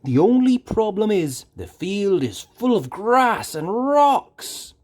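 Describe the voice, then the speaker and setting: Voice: mid-range voice, big pitch swings, slow, darkish tone, pitched above the performer's own voice. A storyteller voicing a friendly, obliging male farmer with a folksy manner in a children's story. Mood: cautioning.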